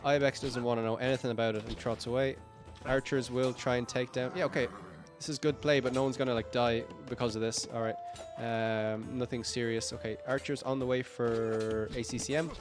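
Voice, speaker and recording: A man with a croaky, tired voice giving live play-by-play commentary on a strategy game, upbeat and rambling with frequent fillers and self-corrections. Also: medium pitch, fairly even intonation, a little fast, few pauses, music in the background. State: croaky, tired voice late in a long stream. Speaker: esports caster.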